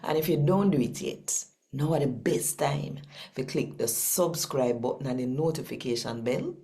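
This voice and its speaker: A woman with a Jamaican accent, hosting and reading scripture aloud in an expressive style with deliberate, emphatic phrasing. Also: a bass voice. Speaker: channel host and scripture reader. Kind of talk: expressive scripture reading and channel talk in Patwa.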